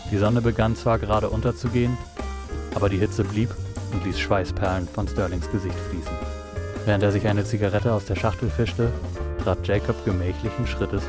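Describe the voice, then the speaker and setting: A man reading scripted narration for an audio drama. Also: lowish voice. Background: music.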